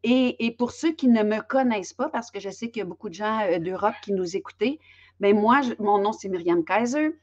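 A woman's voice: mid-range voice, a little fast. A woman from Belgium hosting an online interview, speaking with frequent fillers and restarts as she introduces the topic and her guests. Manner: hesitant, many fillers and restarts. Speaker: host and interviewer. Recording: slightly dull lo-fi audio.

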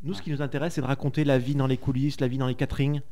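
Male journalist co-host, rapid delivery, earnest and eager. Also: medium pitch, little pitch movement, dark tone. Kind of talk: casual chat.

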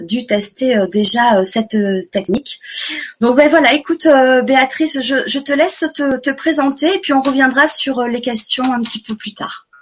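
Husky, fairly high-voiced woman hosting a webinar. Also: highs cut off. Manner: warm and earnest, doubles words for emphasis. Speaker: webinar host. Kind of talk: live online conference hosting.

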